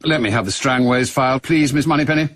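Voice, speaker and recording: A man acting in a radio drama as a middle-aged British intelligence chief, brisk, authoritative and clipped. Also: medium pitch, a bit bright, dry recording, lightly acted. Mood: brisk, businesslike.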